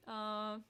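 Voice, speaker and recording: A woman's voice: mid-range voice, sharp tone, smooth. Playful woman chatting on a live stream. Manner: playful, laughs often, reads chat aloud. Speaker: singer hosting a live stream. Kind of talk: casual live-stream chat.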